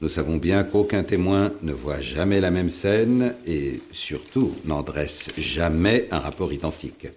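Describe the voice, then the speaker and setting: An actor playing a shrewd, commanding Roman prefect in a French audio drama, deliberate and suspicious. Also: male voice, deep voice, animated, darkish tone, a little hiss or hum. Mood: suspicious reasoning. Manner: deliberate, authoritative.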